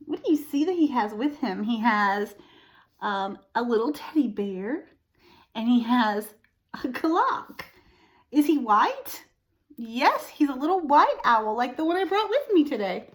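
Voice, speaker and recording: Slow, very animated woman reading to children. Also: fairly high pitch. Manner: expressive, asks the young listeners questions. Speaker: storytime host reading to children. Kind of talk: read-aloud picture-book storytelling with explanations for young children.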